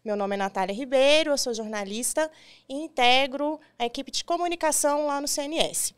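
A woman's voice: high voice, brisk. A woman in her thirties with a Brazilian accent, hosting a podcast and guiding an interview at a studio microphone. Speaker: podcast host. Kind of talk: scripted introduction and interview questions. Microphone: desk studio microphone on boom arm.

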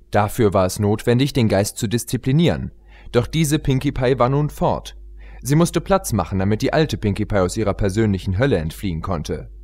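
A man's voice: lowish voice, a little mellow. A man reading scripted story narration expressively, as an audiobook narrator.

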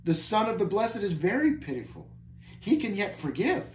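A man voicing an earnest, tender-hearted pilgrim in a storybook tale, gentle and pleading. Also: high-pitched, big pitch swings, normal speed, pitched above the performer's own voice. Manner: gentle, pleading, old-fashioned storybook phrasing. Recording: roomy echo, faint background noise.

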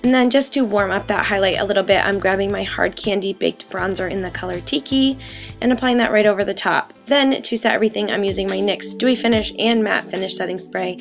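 Young woman, raspy gravelly voice, getting over a cold, chatty beauty tutorial, soft music behind. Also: medium pitch, fairly quick. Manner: chatty, many ums and likes. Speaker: beauty vlogger. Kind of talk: casual makeup tutorial talk. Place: bedroom, indoors.

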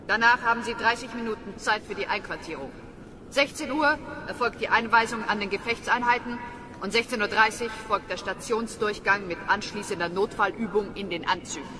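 A woman's voice: on the high side, moderate pace, crowd noise, lightly acted. An actress playing a stern female lieutenant giving a formal, authoritative military speech in a radio drama. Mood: stern, official. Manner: authoritative, declamatory. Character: stern female lieutenant addressing new recruits.

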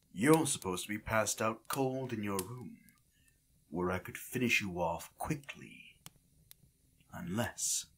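A performer playing a hostile, sneering vampire hunter in an audio roleplay, husky and theatrical, spitting threats. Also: medium pitch, fairly bright tone, heavily acted. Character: hostile, sneering vampire hunter assassin, defiant when captured.

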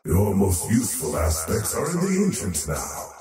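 An actor voicing an arrogant, menacing faceless void creature in a video game, with pompous, ominous and theatrical delivery. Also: male voice, low-pitched, huge pitch swings, moderate pace, distant mic. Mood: contemptuous. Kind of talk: theatrical game character voice lines. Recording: roomy reverb over background music.

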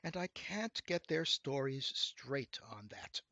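A man's voice: medium pitch, lively intonation, takes it slowly, pushed and bright, no echo, studio quality, full character voice. A man voicing a minor demon in a storybook tale, a dutiful, deferential clerk who explains things to his master. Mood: explaining.

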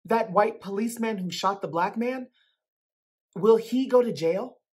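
A woman with a husky voice reading a children's book aloud. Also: medium pitch, measured pace, darkish tone. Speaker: reader. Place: plain room indoors.

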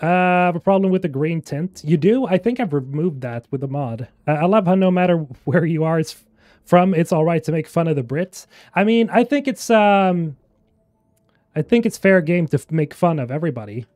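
A man's voice: fairly high pitch, lively intonation, a little fast, darkish tone, no echo, clean studio sound. Swedish man chatting while gaming. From Sweden. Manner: joking, rambling, chats with viewers. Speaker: streamer. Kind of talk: casual gameplay chat. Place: small room indoors. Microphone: headset.

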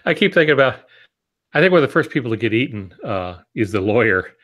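A man in his sixties co-hosting a casual podcast over a video call, with a halting, wry delivery. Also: medium pitch, very animated, highs cut off. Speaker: podcast co-host, author. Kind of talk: casual live podcast chat. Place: indoor home office, on a video call. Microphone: earphone headset on a video call.

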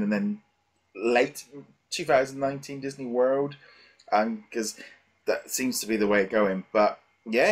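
Male podcast host, middle-aged, mid-pitched voice, hesitant delivery, conversational. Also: slightly rough. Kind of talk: casual podcast discussion. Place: small home room with shelves, indoors. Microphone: headset.

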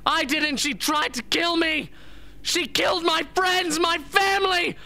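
An actor playing a traumatized, paranoid young man in a radio drama, whispering and shouting his hateful accusations. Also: very high voice, fairly even intonation, unhurried, exaggerated voice. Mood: frantic, accusing. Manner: whispering, building to frantic shouting.

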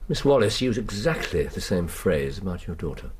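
A man playing a brisk, impatient English gentleman detective in a radio drama, precise and probing, with an English accent. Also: low-pitched, big pitch swings, a little hiss or hum. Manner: clipped, precise, inquisitorial.

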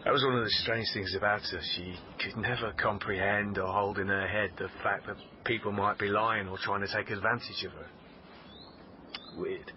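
An actor playing a weary, sardonic British police officer in a radio drama, speaking in a measured, halting way. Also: male voice, on the low side, steady tone, lightly acted. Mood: weary, subdued. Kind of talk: naturalistic radio drama acting. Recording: dull radio recording, clear background noise.

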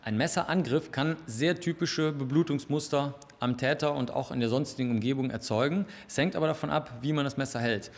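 A man's voice: mid-range voice, a little fast, gravelly, few pauses, long reverb tail. A man in his thirties, a forensic expert, explaining blood patterns precisely in an interview in a lab. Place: indoor lab.

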